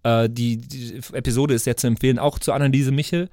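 A man's voice: medium pitch, steady tone, a little fast, dark tone, no room sound, studio quality. A man hosting a podcast in casual chat, rambling, slangy and joking. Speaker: podcast host.

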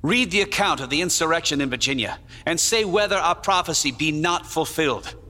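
An actor playing a grave, fiery nineteenth-century abolitionist publisher giving a solemn, declamatory dramatized news report. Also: male voice, a little high, expressive, fairly quick, bright, forceful tone. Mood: grave, vindicated. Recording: long hall-like reverb.

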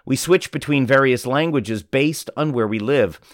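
A man's voice: mid-range voice, normal speed, no echo. Man reading an article, dry close sound. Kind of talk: scripted narration.

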